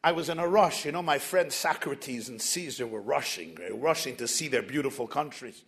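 A man voicing a weary old man returned from heaven, speaking slowly, softly and plaintively. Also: medium pitch, animated, creaky, voice pushed down. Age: older. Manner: slow, soft and plaintive. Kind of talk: storytelling.

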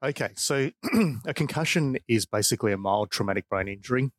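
A man's voice: medium pitch, lively intonation, brisk. A middle-aged man with an Australian accent, explaining things informally as an expert guest in an interview. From Perth, Australia. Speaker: expert guest, professor and researcher.

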